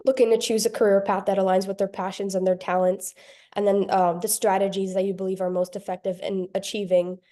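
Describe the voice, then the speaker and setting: A woman's voice: medium pitch, little pitch movement, a little fast, dark tone. Hesitant young woman asking a question. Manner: hesitant, many "um"s and "like"s. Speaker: audience member asking questions. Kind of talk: audience question at a panel.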